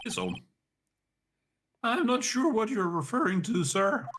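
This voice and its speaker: A Scotsman doing a squeaky, eager young cop. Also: sing-song, measured pace, fairly bright tone, slight background noise, full character voice. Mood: flustered. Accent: Scottish. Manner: squeaky, fawning, every line ends in "sir". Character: eager, nervous young male police officer. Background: background music.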